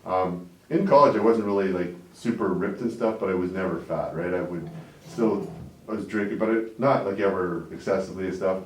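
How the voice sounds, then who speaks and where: A man's voice: low-pitched, reverberant, recorded from a distance, poor audio. A man in his forties from Canada, talking casually as an interview guest in a rambling way, often tagging on "right?" and "like". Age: 40s. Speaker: interview guest, business owner.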